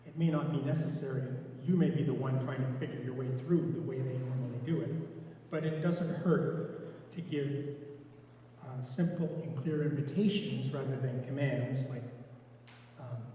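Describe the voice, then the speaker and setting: A soft-spoken man giving an informal training talk to lay readers, relaxed, with frequent restarts. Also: medium pitch, moderate pace, slight background noise. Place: echoey church hall. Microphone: room mic from a distance. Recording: echoey, distant, dull room recording.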